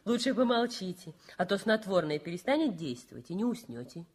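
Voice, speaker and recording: A caring young nurse from a 1960s radio drama. She sounds simple and a bit bashful, her pitch rising and dipping in an animated way. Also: fairly quick, breathy edge. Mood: bashful, brisk. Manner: simple, solicitous, slightly bashful. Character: caring, modest young nurse, nearly twenty.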